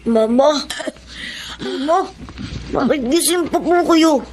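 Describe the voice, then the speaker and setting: A woman's voice: high voice, slow pace, a little mellow, slight background noise. A woman playing a sweet, hungry young child in a radio drama, childlike and earnest. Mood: gentle concern.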